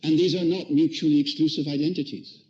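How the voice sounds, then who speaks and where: A man's voice: on the high side, steady tone, quick pace, warm tone. A man from Dublin with an Irish accent, speaking earnestly with many restarts and fillers. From Dublin, Ireland. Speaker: conference speaker. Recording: muffled lo-fi sound.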